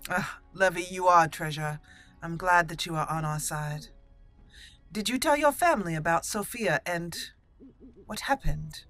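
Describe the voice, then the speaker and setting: A woman's voice: fairly low pitch, moderate pace, fairly bright tone, faint music behind. A woman roleplaying a gracious, formal female elf mage and warden in a fantasy video game. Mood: gracious, pleased.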